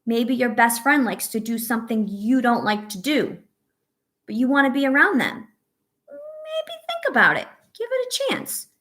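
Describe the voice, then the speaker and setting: A woman reading a picture book aloud to young children as a teacher, pausing to ask questions and offer encouragement and reassurance. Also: high voice, sing-song, fairly bright tone. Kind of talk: read-aloud story with asides to young children.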